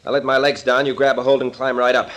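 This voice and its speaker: An actor playing a commanding American captain in a 1940s radio serial, speaking in a clipped, no-nonsense, colloquial way. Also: male voice, mid-range voice, little pitch movement, fast talker, a bit bright, natural voice. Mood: brisk, straining. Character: brisk, commanding American captain, tough adventure hero. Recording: noisy old-time radio recording.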